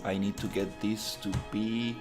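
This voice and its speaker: A man's voice: on the low side, flat delivery, unhurried, over music. A man chatting casually on a live stream while he models in 3D, rambling with lots of fillers. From Costa Rica. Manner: laid-back, rambling, many fillers, muttering through his work.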